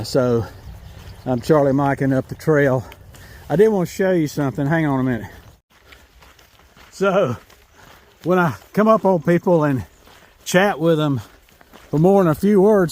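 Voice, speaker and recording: A man's voice: on the high side, expressive, moderate pace, long pauses, darkish tone. A man from North Carolina with a Southern accent, vlogging in a casual, rambling way while walking a rural trail. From North Carolina, USA. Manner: rambling, folksy, self-correcting. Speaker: hiking vlogger. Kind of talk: casual walking vlog to camera. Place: outdoors on a rural trail in the rain.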